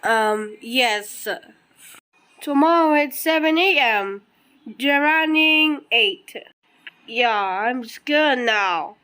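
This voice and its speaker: A woman voicing an anxious young cartoon character in amateur animation dialogue, with halting, stilted delivery. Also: high-pitched, animated, bright, forceful tone, clean tone, exaggerated voice. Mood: scared, hesitant.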